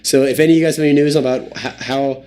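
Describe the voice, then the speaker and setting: A young man co-hosting a comedy podcast, telling absurd stories with mock-serious excitement. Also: mid-range voice, quick pace. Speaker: podcast co-host. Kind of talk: comedic storytelling chat.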